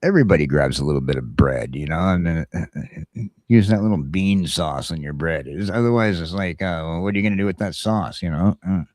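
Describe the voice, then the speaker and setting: An American man co-hosting a casual morning podcast, talking in a rambling, opinionated, halting way. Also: low voice, darkish tone, no room sound, studio quality. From United States. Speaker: talk show co-host.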